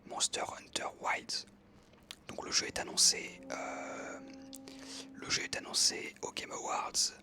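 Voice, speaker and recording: An ASMR creator whispering a casual game commentary close to the microphone, pausing and correcting themselves as they go. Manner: whispering throughout, hesitant pauses with frequent self-corrections.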